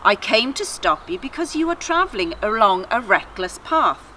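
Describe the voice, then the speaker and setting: Woman reading as a stern, bright-voiced male angel. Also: fairly high pitch, sing-song, a little hiss or hum, rough recording. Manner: measured, admonishing. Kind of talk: children's story read aloud.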